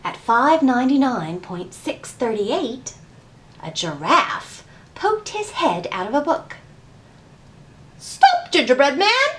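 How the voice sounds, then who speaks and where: A woman's voice: a little high, big pitch swings, slow pace, fairly bright tone. A woman hosting a library storytime, addressing young children with questions and prompts as she reads and shares rhymes. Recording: roomy echo, distant mic, faint noise.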